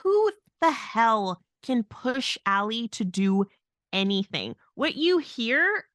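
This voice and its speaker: A woman's voice: on the high side, sing-song, slow, a bit bright, dry recording. A woman co-hosting a podcast, venting casually in a blunt, exasperated and emphatic way. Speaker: podcast host.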